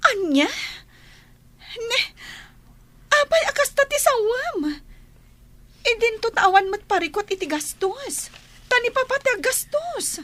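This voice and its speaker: A woman's voice: extremely high pitch, big pitch swings, takes it slowly, pauses often, a bit bright, exaggerated voice. A woman with an Ilocano Filipino accent acting a lovestruck, pleading young bride-to-be in a radio drama. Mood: insistent.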